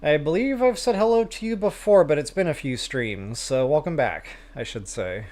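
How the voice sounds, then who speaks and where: A man's voice: a little high, animated, normal speed, a little mellow. A man hosting a game livestream, chatting casually with viewers in a self-deprecating way. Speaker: live stream host, gamer.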